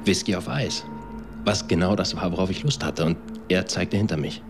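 Laconic guy telling a story, really low voice, radio play, music underneath. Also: moderate pace. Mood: laconic, wry. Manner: laconic, colloquial. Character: laconic man recounting an evening at a bar. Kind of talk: German radio drama acting, storytelling.

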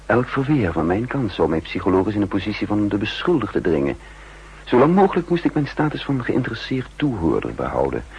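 An actor playing a composed double agent who narrates his own story in a measured, introspective way, in a 1960s radio drama. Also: on the low side, sing-song, vocal fry, a little mellow, slightly rough, full character voice. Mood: grave, controlled. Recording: muffled old 1960s radio recording.

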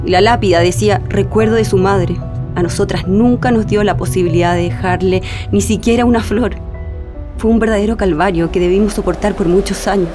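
A woman with a Chilean accent playing a grieving daughter, giving sombre, measured testimony in a radio drama. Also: medium pitch, fairly even intonation, music in the background, close to an everyday voice. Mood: bitter, sorrowful. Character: grieving daughter giving testimony about her murdered father.

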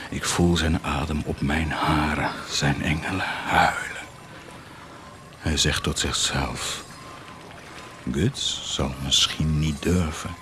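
An actor playing a cruel, blasphemous warlord in a radio drama, mocking and grandiose, declaiming sardonically with dramatic pauses. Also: male voice, extremely low pitch, heavily acted. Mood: brooding, menacing. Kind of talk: theatrical radio drama acting.

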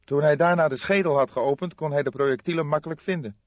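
Man voicing a high-pitched, brisk young detective. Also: dry recording, muffled recording, lightly acted. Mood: nonchalant reporting. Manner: brisk, matter-of-fact. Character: nonchalant, eager younger male police detective sidekick.

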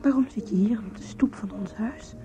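Actress as a whispery, tender young lover. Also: female voice, medium pitch, normal speed, music in the background, close to an everyday voice. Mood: tender, hushed. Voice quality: soft, whispery. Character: tender, intimate young woman in love. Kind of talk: radio drama acting.

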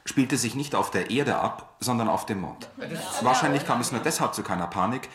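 An actor playing a sober, grave radio news commentator, reading formal scripted commentary in a measured way in a radio play. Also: male voice, mid-range voice, lively intonation, crowd noise, natural voice. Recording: echoey playback in a crowded room.

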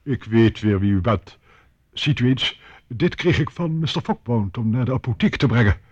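A man's voice: medium pitch, lively intonation, moderate pace, noisy background, lightly acted. A man playing a formal, politely insistent, unflappable servant in a radio drama. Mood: polite, composed. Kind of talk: Dutch radio drama acting. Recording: dull, muffled old radio recording.

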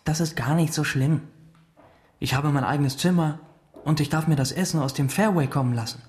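A young actor playing a flippant, stubborn teenage brother in trouble in a radio drama. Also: male voice, on the high side, fairly quick, a little mellow, natural voice.

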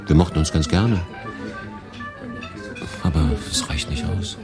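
An actor playing a wry, guarded refugee telling his story in a radio drama, in measured, laconic phrases. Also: extremely low pitch, gravelly, music in the background, heavily acted. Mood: reflective, wry. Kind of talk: radio drama narration and dialogue.

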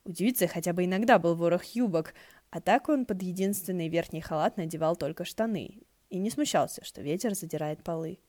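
Female audiobook narrator, dark soft-edged tone, slightly low, wry scripted storytelling, very dry recording. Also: brisk, no echo.

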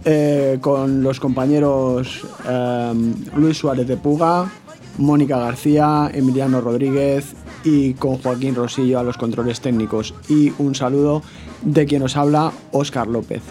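A man's voice: medium pitch, steady tone, a little slow, warm tone, soft background music. A man presenting a live radio news bulletin.

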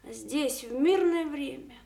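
An actress playing a devoted, anguished older wife of a dying veteran in a Soviet radio drama, with an emotional, halting delivery. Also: female voice, high-pitched, creaky, mellow. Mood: grieving.

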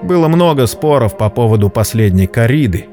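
A man narrating a scripted story dramatically, in a solemn, measured literary manner. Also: medium pitch, lively intonation, mellow. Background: background music.

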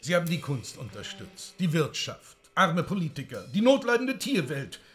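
An actor playing a glib, self-important fund-seeker in a satirical radio drama, pompous and declamatory. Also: male voice, fairly high pitch, huge pitch swings, normal speed, a bit bright. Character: glib, self-important man seeking funds amid doom.

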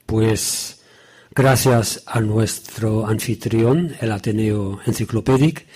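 Husky man giving a prepared speech. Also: lowish voice, slow pace, a little mellow. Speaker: author presenting his book.

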